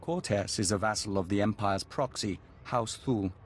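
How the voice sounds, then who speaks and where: A man playing a formal, enigmatic advisor with a deliberate, detached manner in a video game. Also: lowish voice, a little hiss or hum, lightly acted. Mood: informative.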